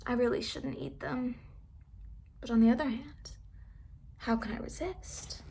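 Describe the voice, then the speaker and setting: Woman doing a high, wailing storybook fox. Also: fairly even intonation, a little slow, gravelly, warm tone. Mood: dreamy, scheming. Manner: wailing, pleading, dramatic. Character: suffering, sly, well-dressed male fox.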